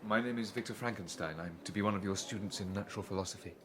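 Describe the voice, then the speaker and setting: Male actor as earnest young student telling his story, lowish voice, bit of noise, audiobook drama. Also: rapid-fire, fairly bright tone, lightly acted. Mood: polite, nervous. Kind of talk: dramatised first-person narration.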